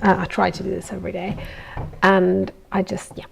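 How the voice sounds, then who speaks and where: Woman, young adult, from Italy, native Italian speaker, casual workshop chat, frequent ums and small laughs, slightly gravelly voice. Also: on the low side, level tone, normal speed, a little hiss or hum. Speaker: goldsmith streaming from her workshop. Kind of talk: casual live-stream chat while working.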